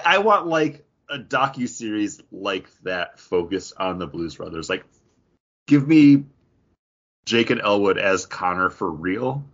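A man's voice: lowish voice, fairly bright tone, breathy edge, dull audio. A man co-hosting a podcast in casual, rambling pop-culture chat with some mild swearing. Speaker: podcast co-host.

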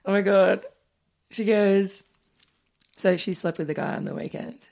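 A woman's voice: mid-range voice, a little slow, vocal fry, a little mellow. A woman co-hosting a casual, tipsy chat podcast, telling stories. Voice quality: nasal. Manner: storytelling, laughs a lot. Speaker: podcast co-host.

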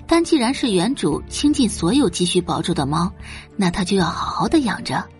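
A woman narrating a scripted dramatic audiobook story. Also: on the low side.

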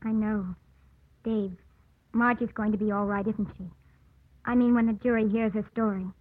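An actress playing a caring, restrained woman in love, speaking gently and earnestly in a 1950s radio drama. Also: mid-range voice, steady tone, normal speed, natural voice. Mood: torn, tender. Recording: muffled old 1950s radio broadcast.

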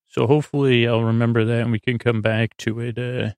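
Male sleep podcast host: gravelly, very even pitch, lulling, hesitant and meandering delivery. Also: no echo. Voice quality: creaky. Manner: meandering, halting, trails off into digressions; lulling, soothing. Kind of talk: rambling bedtime monologue to put listeners to sleep.

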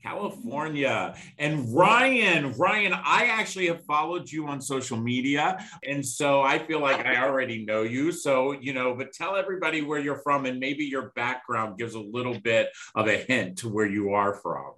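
A man's voice: mid-range voice, moderate pace, few pauses, bright, forceful tone. A man in his fifties hosting an interview show, blunt and emphatic, often saying "you know" and "okay". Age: 50s. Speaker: show host.

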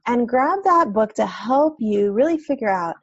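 A woman's voice: a little high, animated, moderate pace, slightly rough, muffled recording. A woman hosting a podcast, chatting casually and supportively with her guest and closing the episode. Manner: supportive, affirming interviewer who wraps up with plugs. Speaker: podcast host. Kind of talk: casual interview chat.

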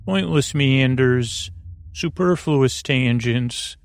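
Creaky-voiced man, soothing and rambling. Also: medium pitch, dry recording. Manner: soothing, halting, repetitive, gently silly. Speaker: podcast host. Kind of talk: rambling, meandering bedtime talk to lull listeners to sleep. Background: music.

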